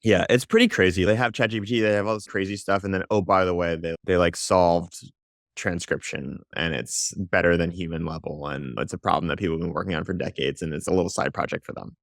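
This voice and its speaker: A man with a very low voice talks as a guest on a podcast. He is chatty and animated, and he tells stories quickly with plenty of fillers. The sound is dry and close. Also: dry recording. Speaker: podcast guest, writer and founder. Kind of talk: conversational interview.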